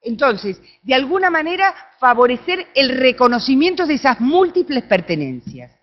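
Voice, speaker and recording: Argentine woman giving a conference talk, kinda high animated voice, dull lo-fi recording. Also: moderate pace. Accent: Argentine Spanish. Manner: animated, with frequent tag questions. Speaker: conference speaker. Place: conference room.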